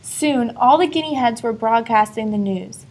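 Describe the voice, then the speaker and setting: A woman reading a children's story aloud expressively, pausing to put questions to a young listener. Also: a little high. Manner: asks a child engaging questions. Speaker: storybook reader.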